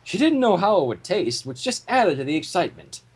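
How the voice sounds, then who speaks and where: This is a reader narrating a fan-written story. The delivery is theatrical and very lively in pitch, with a husky, breathy texture throughout. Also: brisk. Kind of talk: animated fan fiction narration with banter.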